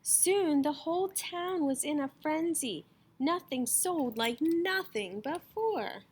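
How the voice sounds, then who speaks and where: A woman reading a children's story aloud as an expressive storyteller. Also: high-pitched, slow pace, a little hiss or hum.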